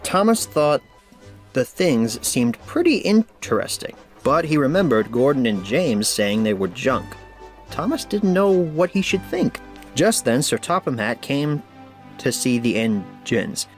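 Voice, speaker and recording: Male storybook narrator, very varied pitch, read-aloud children's tale, faint music. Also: big pitch swings, measured pace.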